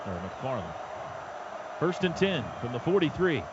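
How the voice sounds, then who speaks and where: A man's voice: mid-range voice, very animated, measured pace. A man giving live radio play-by-play of a football game in clipped, urgent phrases. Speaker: play-by-play announcer. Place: stadium radio booth. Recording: dull, noisy stadium radio broadcast.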